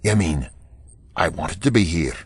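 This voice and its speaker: A man's voice: low-pitched, animated, unhurried, slightly rough, no room sound, exaggerated voice. An actor playing a stubborn, emotional male Prime Minister in an audio drama. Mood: surprised, wistful.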